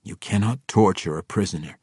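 A principled drow ranger, as a man reading an audiobook plays him, low and measured in a voice close to the narrator's own. He speaks firmly and gravely, recorded close and dry. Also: darkish tone, dry recording. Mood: resolute. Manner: measured, firm.

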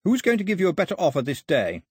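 A man's voice: high-pitched, huge pitch swings, fast talker, breathy edge, dry recording, studio quality, pitched above the performer's own voice, exaggerated voice. A man voicing an earnest, defiant young woman in an audiobook, urgent and articulate. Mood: bold bargaining.

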